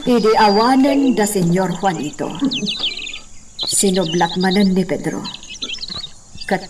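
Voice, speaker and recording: Woman as slow-talking, troubled hacienda mistress. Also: medium pitch, natural voice. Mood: confiding, probing. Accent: Ilocano. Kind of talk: slow-paced radio drama acting. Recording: distant mic, clearly noisy background.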